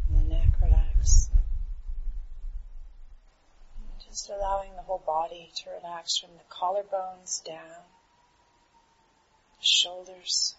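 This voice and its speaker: Female meditation guide, lowish register, unhurried and soothing, leaving pauses; dull recording. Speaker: meditation teacher. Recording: dull, muffled recording with clear background noise.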